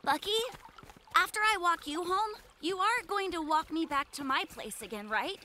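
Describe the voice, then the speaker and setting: A woman's voice: very high-pitched, expressive, moderate pace, bright, forceful tone, a little hiss or hum, full character voice. A woman voicing a timid, gullible little polar bear cub boy in a kids' cartoon, hesitant and naive. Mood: worried. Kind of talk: animated kids' cartoon dialogue. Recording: faint music behind the voice. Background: cartoon music.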